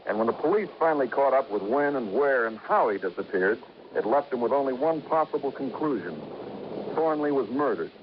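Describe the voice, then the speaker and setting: An actor playing a cynical, talkative newspaper reporter in a 1950s radio drama, emphatic and slangy. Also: male voice, medium pitch, expressive, brisk, close to an everyday voice. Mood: blunt, insistent. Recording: muffled, very noisy vintage radio recording.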